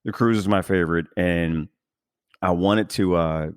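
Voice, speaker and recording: A man's voice: deep voice, a little slow, clean tone, no echo, studio quality. A man hosting a casual podcast interview, talking in an effusive, rambling way. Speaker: podcast host.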